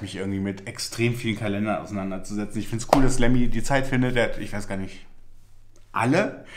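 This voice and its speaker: Chatty man in a noisy home room. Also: mid-range voice. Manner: chatty, upbeat, talks while handling a box. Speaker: fishing lure channel host. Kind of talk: casual unboxing vlog. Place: small indoor room with shelves.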